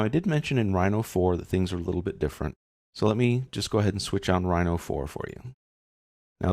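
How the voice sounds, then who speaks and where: Man, software instructor, very low pitch, gravelly fry, casual step-by-step tutorial narration. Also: no echo, studio quality.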